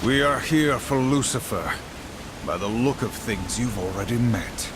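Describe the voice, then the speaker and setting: A man's voice: medium pitch, expressive, a little slow, noisy background, lightly acted. An actor playing a stern, dutiful male warrior horseman in a video game, solemn and formal. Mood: resolute. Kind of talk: video game cutscene acting.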